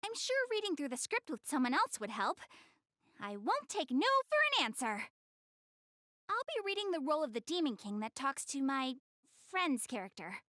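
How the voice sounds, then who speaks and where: A woman's voice: high-pitched, big pitch swings, normal speed, fairly bright tone, dry recording, clean studio sound. A woman voicing a shy, prideful young goddess girl in an English-dubbed anime game, flustered and earnest but a little haughty. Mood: nervous, insistent.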